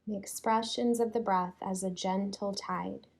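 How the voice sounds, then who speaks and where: A woman leading a guided yoga relaxation in a soothing, unhurried way, leaving long pauses between phrases. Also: mid-range voice, fairly even intonation, creaky, a little mellow. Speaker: yoga nidra teacher.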